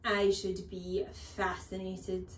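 In a roomy, echoing space, a huge bullying headmistress voiced by a woman reader speaks with loud contempt. She snaps and barks her orders, stretching words for menace, like a true storybook tyrant. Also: medium pitch, fairly even intonation, a little hiss or hum, lightly acted. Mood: sarcastic. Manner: scornful, snapping, barking, drawn-out emphatic words.

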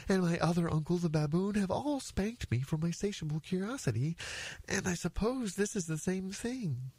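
A man's voice: high voice, animated, normal speed, darkish tone, slightly rough, no echo, voice pushed up. A man voicing a very polite, innocent young elephant in a storybook, earnest and wordy in his pleading. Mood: polite, plaintive. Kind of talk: storybook character voice.

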